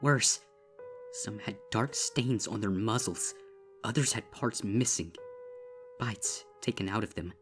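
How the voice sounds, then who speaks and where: A man reading a horror story as a traumatized female unicorn, grave, deliberate and intense, over music. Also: lowish voice. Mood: grim, haunted. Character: traumatized young female unicorn, horrified and desperate. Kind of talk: dramatic first-person horror story reading.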